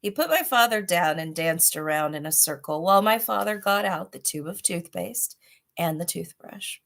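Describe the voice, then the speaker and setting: A woman narrating a children's story in an expressive read-aloud style. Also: lowish voice, moderate pace, vocal fry. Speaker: storybook reader.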